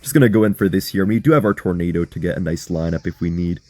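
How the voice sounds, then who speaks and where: A young man with a hoarse voice giving chatty, excitable live commentary on a mobile game as he plays, talking to his viewers. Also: deep voice, racing, no echo. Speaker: gaming content creator.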